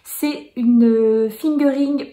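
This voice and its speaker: A woman's voice: a little high, steady tone, drawn-out words, warm tone. A woman in her forties chatting casually to camera about knitting at home, hesitant, with many fillers and small laughs. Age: 40s. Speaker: knitting vlogger.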